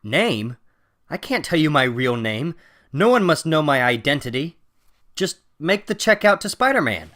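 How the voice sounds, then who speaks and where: A man's voice: on the high side, big pitch swings, normal speed, a bit bright. A man playing an earnest, anguished teenage superhero in a melodramatic comic-book reading. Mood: indignant. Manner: emphatic, theatrical, self-questioning.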